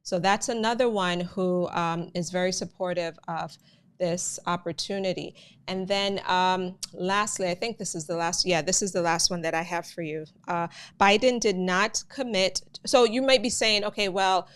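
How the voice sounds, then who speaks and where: A Jamaican woman hosts a live immigration update in a relaxed, chatty way and keeps turning to her viewers. Her voice sits somewhat low and sounds smooth and clear. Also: moderate pace. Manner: chatty, addresses viewers as guys, many fillers. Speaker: immigration news host. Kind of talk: live channel talk with viewers.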